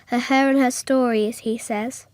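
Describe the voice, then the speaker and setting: A woman's voice: a little high, steady tone, normal speed, no room sound, lightly acted. An actress playing a young, imaginative schoolgirl narrating a radio drama in a naive, literal way. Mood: earnest, dreamy. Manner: naive, literal, childlike phrasing.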